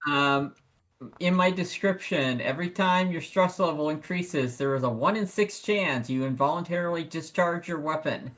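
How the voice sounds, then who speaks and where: A man's voice: on the high side, normal speed, fairly bright tone. A man chatting casually as a player in a tabletop game, often hesitant and asking questions.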